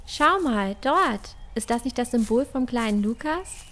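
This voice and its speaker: A woman's voice: fairly high pitch, animated, unhurried, a bit bright. A woman playing a cheeky, adventurous, optimistic girl in a fantasy audio drama. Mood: eager, upbeat.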